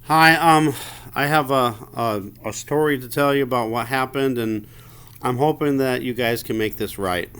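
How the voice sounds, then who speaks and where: A man giving an informal account of a grievance as a customer, halting and repetitive, sounding aggrieved. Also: medium pitch, a bit bright. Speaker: customer phoning in a complaint.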